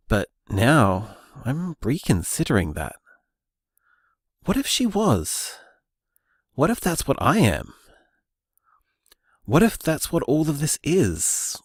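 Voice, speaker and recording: A man's voice: mid-range voice, husky, dry recording, clean studio sound, exaggerated voice. An actor playing an earnest young man discovering luck magic, talking in a thoughtful, musing way. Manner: thoughtful, musing, lively delivery. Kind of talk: audio drama, voice-message monologue.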